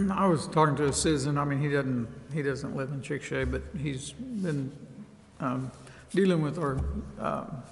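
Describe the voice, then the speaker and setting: Halting man in a reverberant meeting chamber. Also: a little high, creaky. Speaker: city council member. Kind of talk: informal report at a public meeting. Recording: reverberant chamber with background noise.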